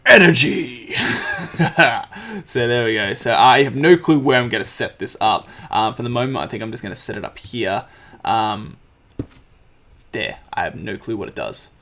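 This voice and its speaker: A man with a slightly croaky voice, casually commenting on his gameplay and thinking aloud as he crafts. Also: on the low side, bright, forceful tone, a little hiss or hum. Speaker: let's play host.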